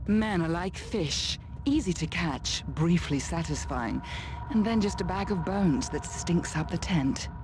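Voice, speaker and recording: An actress playing a proud, fierce woman warrior in a fantasy video game, with a dry, measured manner. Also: lowish voice, animated. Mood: wry. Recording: clear background noise.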